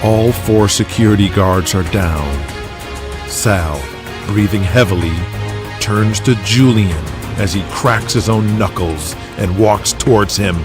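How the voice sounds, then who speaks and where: A man narrates a scripted drama in a fairly low voice. Music plays clearly behind his narration. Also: slow.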